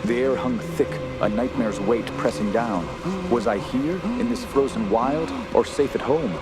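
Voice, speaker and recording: An actor playing a fearful, haunted camper, narrating a horror tale in a solemn, measured and dramatic way. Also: male voice, mid-range voice, lively intonation, over loud music, lightly acted. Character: haunted, fearful male wilderness camper telling his tale. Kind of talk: scripted first-person horror narration.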